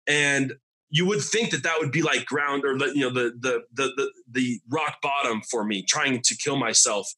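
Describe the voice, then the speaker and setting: A man in his thirties from Arizona, telling his recovery testimony as a podcast guest. He talks haltingly and often repeats and restarts his words. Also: medium pitch, sharp tone, breathy edge. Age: 30s.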